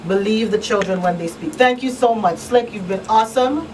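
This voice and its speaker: A woman's voice: medium pitch, normal speed. A woman hosting a casual radio talk show, speaking emphatically and sometimes reading out announcements. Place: radio studio. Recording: distant mic with faint background noise.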